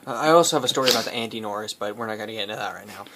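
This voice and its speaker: Man, comic storyteller, halting, rambling delivery, casual host, faint noise and clicks. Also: on the low side, sharp tone. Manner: rambling, halting, often breaking into laughter. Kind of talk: improvised comic storytelling with friends. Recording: noisy home recording with clicks.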